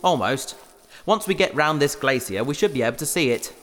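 A man's voice: a little high, animated, fairly quick, soft background music. A man playing a patient, earnest and squeamish scientist who speaks politely and precisely in a sci-fi audio drama. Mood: pleased, instructive. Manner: polite, precise, gently admonishing. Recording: long hall-like reverb.

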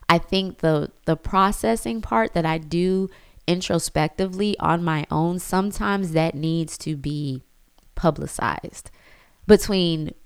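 A woman's voice: fairly low pitch, smooth, dry recording, clean studio sound. A young woman thinking aloud in a personal reflective monologue, full of fillers and restarts. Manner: thoughtful, frequent fillers and restarts. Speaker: reflective speaker.

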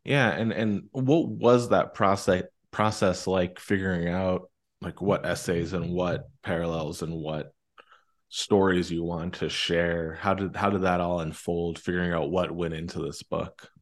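A man's voice: deep voice, fairly even intonation, unhurried. A man hosting a podcast, asking casual interview questions and rephrasing them tentatively as he goes.

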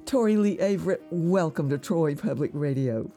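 A woman interviewing guests on a public radio program, friendly and measured. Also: low-pitched, expressive, dark tone, soft background music.

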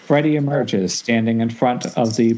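A man's voice: medium pitch, moderate pace, a little mellow, a little hiss or hum. A man reading screenplay stage directions as narrator at a table read, now and then stumbling over words. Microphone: Skype call. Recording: dull internet call.

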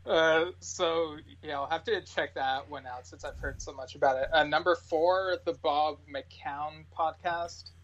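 A man with a somewhat high voice chats as a podcast guest. His talk is loose and informal, peppered with filler words. The recording is a little dull and lo-fi. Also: fairly even intonation, unhurried, hardly any pauses, fairly bright tone. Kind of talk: casual podcast chat. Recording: slightly dull, lo-fi sound.

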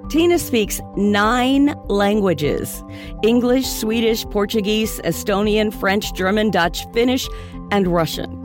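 A woman reading a scripted podcast introduction in an upbeat, emphatic way. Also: medium pitch. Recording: music bed under the voice.

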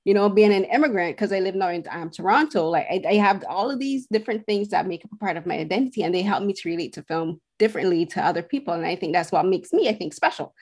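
A woman's voice: mid-range voice, lively intonation, fast talker, few pauses, slightly rough. Woman guest critic, earnest, talks at length, mid-range voice. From Barbados. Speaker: film critic, podcast guest. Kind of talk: casual podcast conversation.

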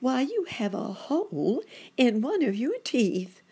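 A woman's voice: fairly high pitch, slow, breathy edge, voice pushed up, heavily acted. Woman reading as a kind, sensible muskrat nurse. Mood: reassuring. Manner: calm, reassuring.

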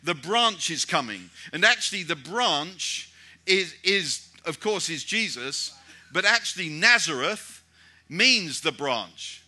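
A man's voice: fairly high pitch, sing-song, slow, pushed and bright. A man from Britain preaching a sermon in a conversational way, with many restarts and repeated rhetorical questions.

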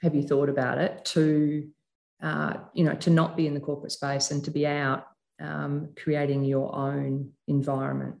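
A woman with a notably low voice leads the conversation as interviewer. She asks probing follow-up questions in a casual, conversational way. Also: flat delivery, normal speed, creaky, darkish tone, low-quality recording.

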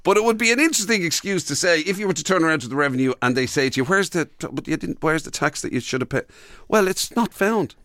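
Lively Irish man, teasing, dry close sound. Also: on the high side, fast, no echo. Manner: teasing, mock-incredulous. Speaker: radio host. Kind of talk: casual comic banter. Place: radio studio.

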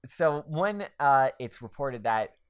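A woman's voice: very deep voice, lively intonation, slow pace, clean tone. An American woman in her twenties co-hosting a casual comedic movie podcast, sarcastic and exasperated, with plenty of swearing. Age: 20s. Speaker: podcast co-host.

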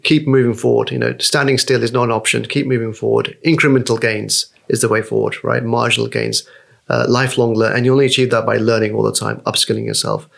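Guest voice, man, forties, quick and gravelly, chatty and rambling. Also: mid-range voice, little pitch movement, fairly bright tone. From Nepal. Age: 40s. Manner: rambling with many fillers and restarts. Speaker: podcast guest. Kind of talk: casual podcast interview.

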